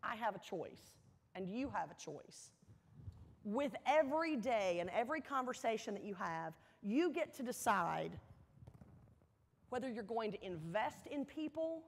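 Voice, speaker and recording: A woman with a fairly high voice delivers a motivational speech in a large, reverberant hall. She tells her story earnestly, leaning on key words and pausing for effect. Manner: earnest, emphatic, dramatic pauses and repetition. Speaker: motivational speaker at a university welcome event. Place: large indoor hall, addressing an audience.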